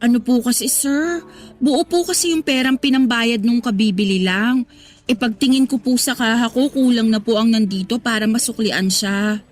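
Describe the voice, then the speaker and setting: A woman's voice: on the high side, moderate pace, natural voice. A woman playing a young cashier in a Tagalog radio drama, deferential and hesitant in manner. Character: young female cashier, eager, polite and nervous. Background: music.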